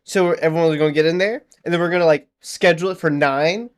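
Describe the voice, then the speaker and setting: A man with a slightly high voice who talks fast on a podcast. He tells animated stories and acts out quotes as he goes. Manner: quick, animated anecdotes with acted quotes. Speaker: podcast co-host. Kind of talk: casual storytelling chat.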